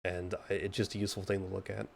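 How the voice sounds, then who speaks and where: Gravelly low-voiced developer. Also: male voice, level tone, very fast. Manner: offhand, hesitant explaining. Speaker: game developer on the panel. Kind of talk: casual live developer Q&A.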